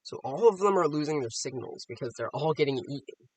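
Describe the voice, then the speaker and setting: A man's voice: a little high, animated, brisk, slightly rough, dry recording, low-quality recording. A man giving casual, off-the-cuff reaction commentary, musing aloud and posing rhetorical questions. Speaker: reaction video host.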